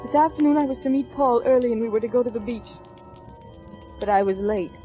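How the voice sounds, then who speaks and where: An actress playing an anxious, haunted wife in a 1940s radio suspense drama, with intense, dramatic delivery. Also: female voice, on the high side, a little fast, close to an everyday voice. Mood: haunted, dread. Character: anxious, haunted vaudeville wife longing for divorce. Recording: dull old radio recording with music.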